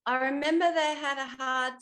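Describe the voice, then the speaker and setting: A woman's voice: sits high, fairly even intonation, normal speed, sharp tone. An older woman, over sixty, chatting reflectively as a retired actress in an interview, thinking aloud and letting her sentences trail. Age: 60s or older. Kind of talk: reflective casual interview chat.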